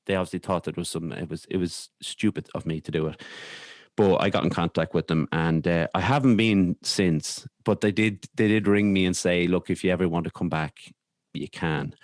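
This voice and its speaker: An Irish man speaking candidly as a podcast guest, in a hesitant, halting way with repeated words. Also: low voice, no echo, studio quality. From Ireland. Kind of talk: candid conversational interview.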